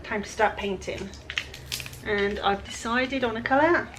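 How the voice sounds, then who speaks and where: Croaky woman, a bit breathless. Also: on the high side, a little slow, background noise. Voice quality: croaky, raspy voice. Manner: chatty, often trails off quietly. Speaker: furniture flipper. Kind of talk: casual how-to chat while working.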